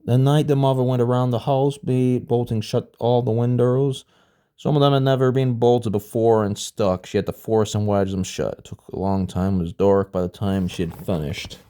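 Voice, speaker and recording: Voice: mid-range voice, fairly even intonation, normal speed, mellow, clean tone. A storybook narrator reading aloud informally, now and then stumbling over a word and rereading it. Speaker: storybook reader.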